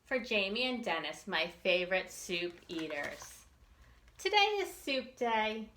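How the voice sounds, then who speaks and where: A woman reading a picture book aloud for young children, expressively and with deliberate enunciation. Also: mid-range voice, sing-song, bright, forceful tone, roomy sound, slight background noise. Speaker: children's librarian storytime reader.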